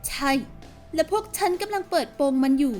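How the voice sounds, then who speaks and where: Woman doing a bossy young game rebel, super high voice, confident n teasing, bit of music behind. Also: brisk, a little mellow. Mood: cool, confident. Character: bossy, sardonic young female rebel leader. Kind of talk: Thai fan dub of a video game.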